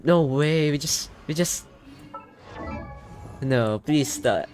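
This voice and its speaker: Excitable young man reacting to a game. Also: a little high, slow. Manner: excitable, thinking aloud, casual swearing. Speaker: gaming YouTuber. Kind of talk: casual game reaction commentary. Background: game music.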